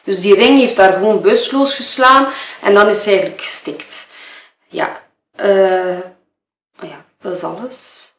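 A woman's voice: mid-range voice, unhurried, close to an everyday voice. Lady acting as woman explaining stuff, plain matter of fact, bit far off in an echoey room.

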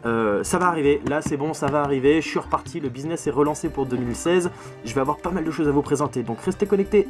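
A man's voice: on the high side, fast talker, soft background music. A man in his twenties hosting a casual vlog about his game collection, talking to camera in a small room and often repeating words as he goes. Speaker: video game collector and channel host. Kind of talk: casual vlog chat, showing purchases to camera. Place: small bedroom full of games, indoors.

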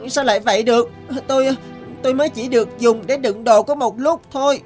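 Woman voicing a high-pitched, naive plastic bag. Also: a little fast, a little mellow, faint music behind, close to an everyday voice. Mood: indignant, dismayed. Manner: exclamatory, plaintive, wide-eyed. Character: naive, proud, anxious green plastic bag. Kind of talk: animated children's cartoon acting.

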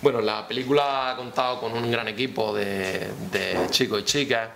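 A man, speaking haltingly as a councillor at a press conference. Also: medium pitch, fairly even intonation, a bit bright. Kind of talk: semi-formal press conference speech. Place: indoor press room at town hall. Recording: clear background noise, room ambience.

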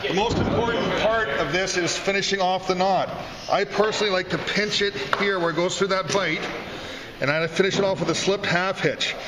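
A man's voice: sits high, steady tone, moderate pace. A man giving a hands-on tutorial as an instructor, explaining step by step. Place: workshop with concrete floor, indoors. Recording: echoey room, loud background noise, clicks.

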